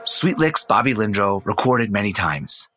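A middle-aged man playing an earnest son narrating his quest as an intense, deliberate dramatic storyteller. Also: lowish voice, very animated, noisy background. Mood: earnest, determined.

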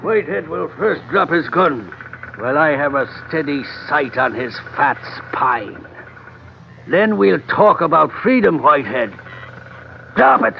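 An earnest, resolute trader in a classic radio adventure. His voice has a clear creak and sits a little high, with formal, measured phrasing. The vintage recording sounds dull. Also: sing-song, noisy background, exaggerated voice. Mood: coldly determined. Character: earnest, determined male trader in the colonial East. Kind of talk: old-time radio drama acting.

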